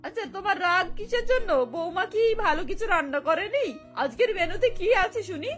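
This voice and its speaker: Nosy older neighbour, cartoon, extremely high voice, gossipy, teasing, music behind. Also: female voice, animated, moderate pace, fairly bright tone. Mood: envious, amused. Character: nosy, chatty, animated older neighbour woman. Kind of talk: Bengali cartoon voice acting.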